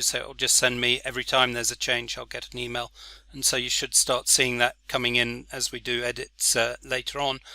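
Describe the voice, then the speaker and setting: Man, software walkthrough, relaxed with fillers, very bright, dry. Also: medium pitch, no room sound. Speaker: software demonstrator. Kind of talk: screen-recorded software walkthrough.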